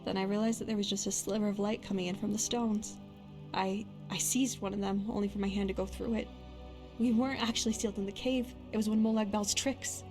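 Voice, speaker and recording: A woman's voice: medium pitch, darkish tone, natural voice. An actress voicing a young woman adventurer and hunter, a guilt-haunted but bold companion in a fantasy game, speaking in a natural, conversational way. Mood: haunted, regretful. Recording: music under the voice.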